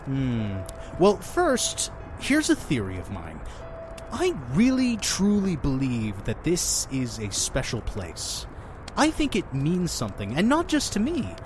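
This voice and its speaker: Man playing a theatrical innkeeper, very animated pitch. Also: slow, background noise, full character voice. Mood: earnest, mysterious. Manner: effusive, talking over his guest. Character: chatty, theatrical, pushy male innkeeper of a mysterious inn. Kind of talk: audio drama acting.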